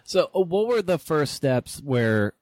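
A man's voice: a little high, big pitch swings, unhurried, a little mellow, no room sound. A man hosting a podcast, asking casual interview questions in a rambling, searching way.

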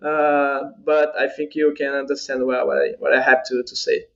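A Brazilian man whose first language is Portuguese, a podcast guest answering in halting English, repeating words as he searches for them. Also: medium pitch, flat delivery, gravelly, dull audio. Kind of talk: casual interview answers.

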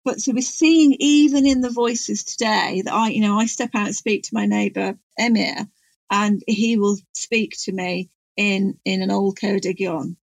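A middle-aged woman, explaining things informally in an animated, emphatic, digressive way. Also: mid-range voice, moderate pace, creaky, dry recording. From North Wales. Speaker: guest expert on Welsh language and poetry.

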